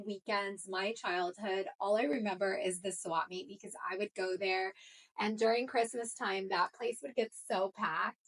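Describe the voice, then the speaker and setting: A woman's voice: mid-range voice, rough recording. A woman co-host from Colombia talking casually about her past. She tells stories in a chatty, easy way, with lots of "um" and "like" along the way. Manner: chatty, storytelling, frequent fillers. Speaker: co-host of a recruiting show. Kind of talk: casual conversational chat.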